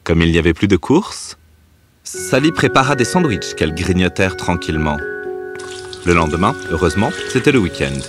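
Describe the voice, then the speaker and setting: Deep, lively male storyteller. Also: normal speed, over music. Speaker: narrator. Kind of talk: scripted children's story narration.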